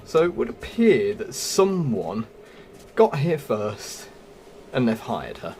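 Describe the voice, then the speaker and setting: A man's voice: medium pitch, huge pitch swings, slow, gravelly, a little mellow, a little hiss or hum. A man giving casual walkthrough commentary as the host of a gaming video. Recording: music behind the voice.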